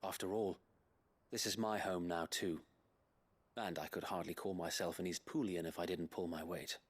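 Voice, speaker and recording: Husky, earnest loyal knight. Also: male voice, low voice, steady tone, moderate pace. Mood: earnest resolve. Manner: formal, earnest. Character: loyal, earnest knight, deferential to his lord. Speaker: video game character. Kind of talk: dramatic game voice acting. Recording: faint game music behind. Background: game music.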